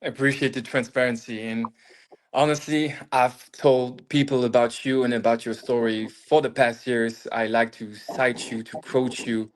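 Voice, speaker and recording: A man of middle pitch hosting a casual podcast, his voice recorded on a phone. He talks with enthusiasm and open admiration and laughs easily. Faint noise sits under his voice. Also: fairly even intonation, normal speed, low-quality recording. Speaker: podcast host.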